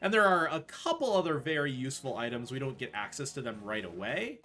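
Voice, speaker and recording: A man's voice: medium pitch, very animated, fast talker, pushed and bright. A man giving casual, explanatory speedrun commentary full of gamer jargon while he plays. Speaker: speedrunner playing and explaining the run.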